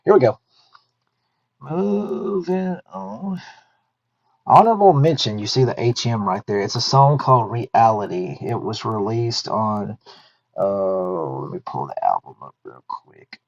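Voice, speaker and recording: A man's voice: on the low side, vocal fry. A man in his thirties hosting a podcast in a chatty, easygoing way, thinking aloud and correcting himself as he goes. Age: 30s. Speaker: podcast host. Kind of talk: casual podcast talk. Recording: dull lo-fi sound.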